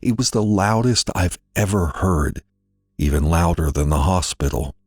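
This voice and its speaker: A man narrating a scripted horror story in a deliberate, dramatic delivery with weighted pauses. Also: low voice, animated, darkish tone, husky, no room sound, studio quality.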